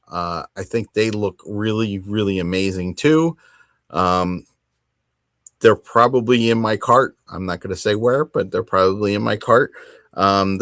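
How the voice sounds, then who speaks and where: A man's voice: lowish voice, a bit bright, no room sound, studio quality. A man hosting a hobby show solo, talking in a casual, conversational way and rambling a little as he thinks aloud. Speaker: host of a tabletop wargaming hobby show.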